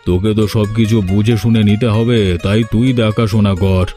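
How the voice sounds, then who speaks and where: A man's voice: fairly low pitch, steady tone, moderate pace, warm tone, lightly acted. A man voicing an ageing, kindly, trusting landlord father in a cartoon, with a weary, troubled manner. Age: older. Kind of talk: animated cartoon dialogue. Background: background music.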